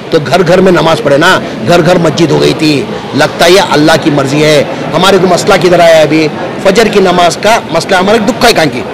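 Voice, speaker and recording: A man with a Bangalore Dakhni accent speaking informally to the press, explaining in colloquial, repetitive phrases. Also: high-pitched. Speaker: politician. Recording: noisy outdoor urban surroundings.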